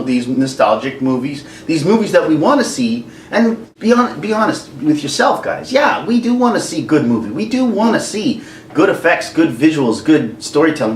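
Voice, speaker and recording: A man hosting a casual rant to camera, jokey and animated, now and then slipping into silly singing. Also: a little high. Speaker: YouTube host. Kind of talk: ranting commentary to camera. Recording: echoey room, mic at a distance, faint background noise.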